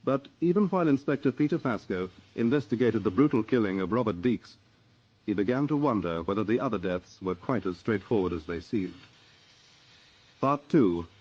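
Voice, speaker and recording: A British man announces a radio drama in scripted narration. His voice is on the low side, and the recording sounds slightly dull and muffled. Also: expressive, normal speed.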